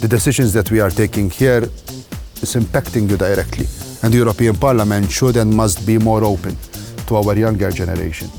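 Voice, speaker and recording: A man in parliament speaks formally, explaining and welcoming in somewhat halting phrases. His voice sits fairly low. Soft music runs behind. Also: gravelly. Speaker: member of parliament. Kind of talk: explanatory remarks.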